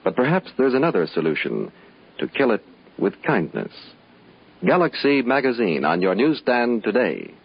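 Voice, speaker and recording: An American man reading scripted copy as a 1950s radio announcer, with a husky voice. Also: medium pitch, huge pitch swings, moderate pace. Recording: dull vintage 1950s radio recording.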